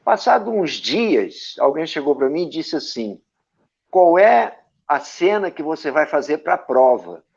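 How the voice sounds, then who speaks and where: A man from Brazil, an actor and director, telling stories from his career as an interview guest, with a lively anecdotal manner and frequent tag questions. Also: a little high, measured pace, creaky, husky. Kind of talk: storytelling interview answers. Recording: dull lo-fi audio.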